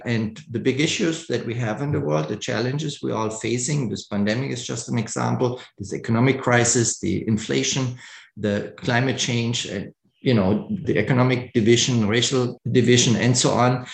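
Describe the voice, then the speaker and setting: A man's voice: medium pitch, normal speed, gravelly, echoey room, poor audio. A middle-aged man from Germany, an author being interviewed, talking thoughtfully with many fillers and restarts. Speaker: author, guest. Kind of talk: conversational interview.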